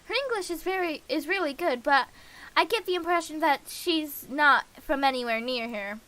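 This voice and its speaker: A woman's voice: high-pitched, bright, forceful tone. A woman streamer reading a visual novel aloud with casual asides, stumbling and correcting herself as she goes. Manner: halting reading with slips and self-corrections. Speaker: game streamer.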